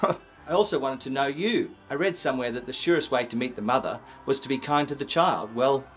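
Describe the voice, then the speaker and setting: An actor playing a friendly, charming gentleman in a radio drama, genial and polite. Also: mid-range voice, fairly quick, faint music behind, lightly acted. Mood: courteous. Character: friendly, charming gentleman neighbour courting a mother. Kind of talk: old-time radio drama acting.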